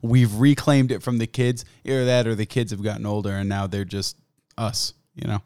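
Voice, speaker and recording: A man's voice: fairly low pitch, flat delivery, normal speed, a little mellow, clean tone, no echo. A young man hosting his own podcast, rambling and cursing casually while sick and losing his voice. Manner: profane, rambling, self-mocking. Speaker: podcast host. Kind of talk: casual solo podcast chat. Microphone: studio mic, close.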